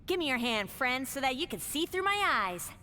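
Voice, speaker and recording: A woman voicing a gentle, polite magical flower spirit in a video game. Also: sits high, big pitch swings, moderate pace, exaggerated voice. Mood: gentle, helpful. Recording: long reverb, faint noise.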